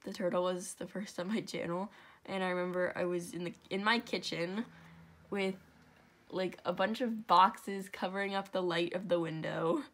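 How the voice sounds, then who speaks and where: A woman's voice: fairly low pitch, steady tone, moderate pace, fairly bright tone. A young woman with a stuffy, congested nose, chatting her way through a step-by-step crafting tutorial at home, with rambling asides and self-corrections.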